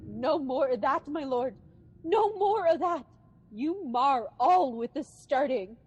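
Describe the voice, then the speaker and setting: A haunted sleepwalking noblewoman in a Shakespearean radio play. Her voice is high and very slow. She mutters in broken fragments, then breaks into imperious, guilt-racked outbursts. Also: expressive, highs cut off. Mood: anguished, pleading. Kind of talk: Shakespearean radio drama acting.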